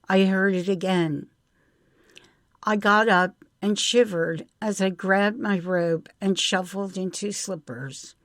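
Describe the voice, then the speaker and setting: A woman's voice: medium pitch, no room sound, clean studio sound. A woman reading her own literary short story aloud in the first person.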